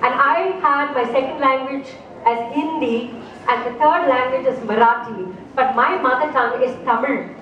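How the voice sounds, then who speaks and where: A woman from India, telling a story slowly and expressively to a live audience and asking them questions. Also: high voice, muffled recording. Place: festival opening ceremony stage. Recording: echoey hall, distant mic, background noise.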